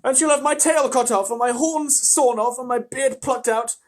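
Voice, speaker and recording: A man's voice: very high-pitched, voice pushed up. A man voicing a timid, sorrowful male faun in a story reading, stammering and wailing in guilt. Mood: wailing, fearful. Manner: wailing, drawn-out, stammering, repeating himself.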